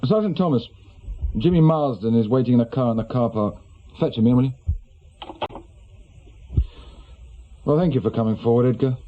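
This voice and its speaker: A man's voice: medium pitch, fast talker, a little hiss or hum, close to an everyday voice. A man playing a terse, authoritative senior police detective with an English accent in a British radio crime drama. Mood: brisk, formal. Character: brisk, probing male senior police detective in charge. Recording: dull, lo-fi radio drama recording.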